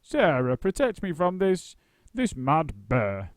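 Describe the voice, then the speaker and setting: A man voicing a pompous, gruff older male stage actor who booms dramatically. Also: huge pitch swings, slow pace, dry recording, studio quality, heavily acted. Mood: booming.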